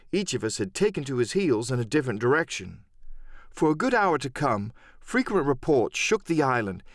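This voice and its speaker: A man's voice: mid-range voice, dry recording, studio quality. A man reading a first-person adventure story as a dramatic scripted audiobook narration.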